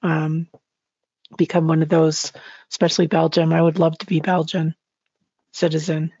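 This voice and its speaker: A woman's voice: low voice, flat delivery, moderate pace, warm tone, breathy edge, no room sound, highs cut off. A woman interviewing her guest in a casual, chatty and personal way.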